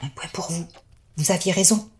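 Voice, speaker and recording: An actress playing a cold, haughty sorceress with an amused, faintly scornful way of talking. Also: female voice, lowish voice, moderate pace, close to an everyday voice. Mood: cool. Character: cold, mocking female sorceress.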